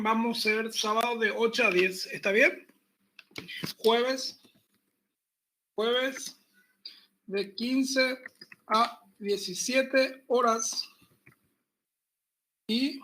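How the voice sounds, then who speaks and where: High-voiced man lecturing at a slow pace. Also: a little hiss or hum, rough recording. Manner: relaxed, chatty, repeats himself, jokes with students. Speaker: university pathology lecturer. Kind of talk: online class lecture. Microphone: computer mic on a video call.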